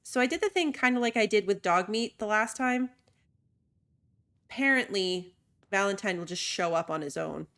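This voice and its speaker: A woman's voice: medium pitch, animated, normal speed, fairly bright tone. A woman streaming a video game, chatting casually and rambling with lots of "like". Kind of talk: casual gameplay commentary.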